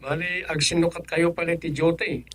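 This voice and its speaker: A man's voice: fairly high pitch, flat delivery, moderate pace, breathy edge, no echo. A man playing a businesslike village chairman and son-in-law in an Ilocano radio comedy, with a clipped manner and an Ilocano accent. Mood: brisk, businesslike.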